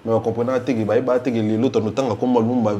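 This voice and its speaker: A man's voice: mid-range voice, level tone, quick pace, warm tone, dull audio. A man with a Congolese accent speaking as a casual interview guest, emphatic and given to repeating himself. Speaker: interview guest, music fan spokesman. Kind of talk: casual interview, mixing two languages.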